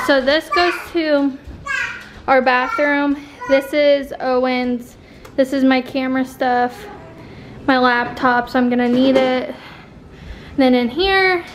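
A woman in her twenties casually chatting as she films her house, rambling and talking to viewers. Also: sits high. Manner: chatty, rambling, filming handheld while walking through the house. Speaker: vlogger. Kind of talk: casual home vlog. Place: home rooms, indoors. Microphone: phone or camera held at arm's length.

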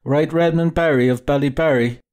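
A man voicing a proud, hot-headed young gentleman adventurer in an audiobook, haughty and indignant. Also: a little high, normal speed, voice pushed up, natural voice. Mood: hot-headed, defiant. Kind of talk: audiobook character dialogue.

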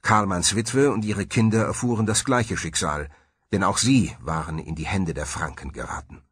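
A man's voice: low-pitched, fairly bright tone, slightly rough, no echo, studio quality. A man delivering scripted historical narration as a documentary narrator, with dramatic, emphatic storytelling.

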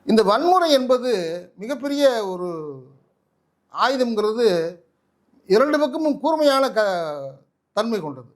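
A man with a Tamil Nadu accent giving impassioned political commentary in an interview, forceful and oratorical, full of rhetorical questions. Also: very high-pitched, big pitch swings, unhurried, long pauses. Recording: echoey room, distant microphone.

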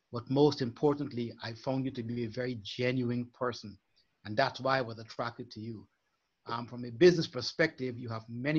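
An older man from Guyana, a life coach, speaking earnestly and in a measured way as a guest on a video call. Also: mid-range voice. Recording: muffled video call audio.

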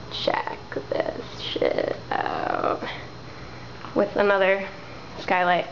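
A woman casually narrating a walkthrough of an abandoned house in a soft, half-whispered way, filmed on a handheld camera. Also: mid-range voice. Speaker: urban explorer filming a tour. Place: empty abandoned row house, indoors. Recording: roomy, dull lo-fi camera audio with hiss.

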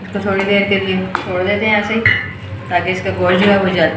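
A woman's voice: medium pitch, fairly quick. Echoey, distant woman talking through a recipe. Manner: calm, homely, talks through each step while cooking. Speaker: cooking channel host. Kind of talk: step-by-step cooking demonstration. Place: home kitchen, cooking at the stove. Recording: echoey, distant, noisy home recording. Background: frying and sizzling from the pan.

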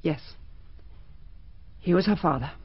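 A woman's voice: low voice, takes it slowly, slightly rough, no room sound, dull audio, heavily acted. An actress playing an anguished, guarded mother in a radio drama, speaking in an earnest, confiding way. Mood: subdued, confessing. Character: anguished, guarded mother confessing an old secret.

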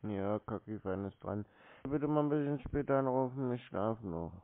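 A man talks casually on the phone in a drowsy, laconic, deadpan way. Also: mid-range voice, normal speed, dry recording. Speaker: scambaiter taking cold calls. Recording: muffled narrowband phone line.

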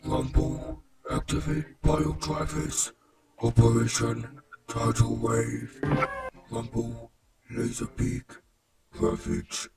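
A man's voice: mid-range voice, gravelly. A man playing a cold, menacing cartoon robot lieutenant with a vocoder effect and clipped commands. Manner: slow, flat, clipped commanding delivery. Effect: robotic vocoder filter. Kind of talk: hobby voice impression of a cartoon villain robot.

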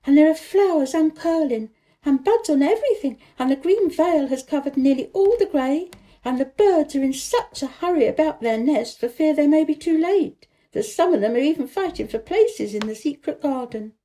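A woman voicing an excited young girl brimming with joy, gushing and exclaiming in a children's storybook reading. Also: high-pitched, moderate pace, pitched above the performer's own voice, rough recording. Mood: excited, joyful.

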